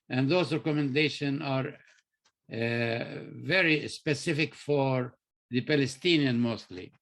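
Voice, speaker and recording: A man giving deliberate, exhorting closing remarks on a webinar, repeating key words for emphasis. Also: fairly high pitch, fairly bright tone. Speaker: webinar speaker. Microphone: video call.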